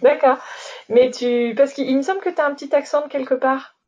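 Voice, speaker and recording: Role: podcast host. Sex: woman. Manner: chatty and giggly, many fillers. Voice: fairly high. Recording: muffled, lo-fi. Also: steady tone, fairly quick, a little mellow. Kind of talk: casual conversational interview. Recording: dull lo-fi sound.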